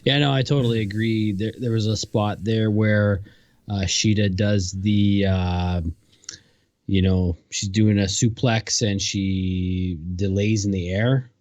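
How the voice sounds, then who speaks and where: A man co-hosting a podcast, speaking in a hesitant way and pausing to search for words. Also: on the low side, steady tone, a little mellow, no echo. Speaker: podcast co-host. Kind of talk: casual chat. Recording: dull dry lo-fi mic.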